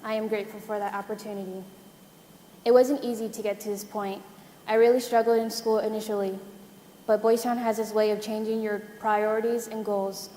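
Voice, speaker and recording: A woman's voice: medium pitch, flat delivery, a little fast, mellow, slight background noise. A teenage American girl, a student mayor on a conference panel, giving an earnest prepared speech. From United States. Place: conference hall. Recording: echoey conference hall.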